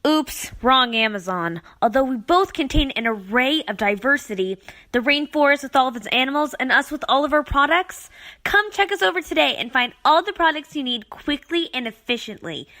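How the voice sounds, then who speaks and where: A woman's voice: sits high, lively intonation, normal speed, bright, forceful tone. A woman delivering a scripted promotional voice-over for an advertisement.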